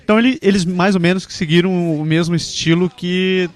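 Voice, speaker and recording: A Brazilian man with a slightly high voice leads a relaxed podcast chat and hands the floor to his co-hosts with questions. Faint music runs under his voice. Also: fairly quick. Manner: friendly, leads the talk with questions. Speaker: podcast host.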